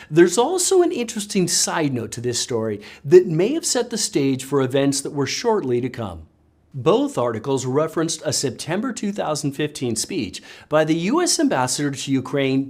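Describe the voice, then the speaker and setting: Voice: medium pitch, a little mellow. A narrator reading scripted political commentary with pointed, sardonic emphasis.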